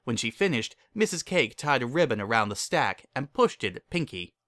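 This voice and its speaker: Animated, bright-voiced male story narrator. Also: mid-range voice, normal speed, no room sound, clean studio sound. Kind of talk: dramatic reading, scripted narration.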